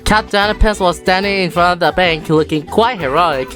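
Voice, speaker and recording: Low-pitched woman narrating a story. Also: brisk, a bit bright, soft background music.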